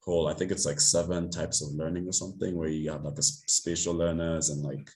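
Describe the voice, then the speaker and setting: A man's voice: a bass voice, level tone, a little fast, a little mellow. A young man hosting a podcast, talking casually and filling his speech with likes and you knows. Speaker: podcast host. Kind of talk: casual interview talk.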